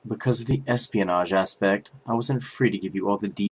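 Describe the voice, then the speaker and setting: A man voicing a grave, formal male chief prosecutor in a fan dub, speaking in a measured way. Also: lowish voice, breathy edge.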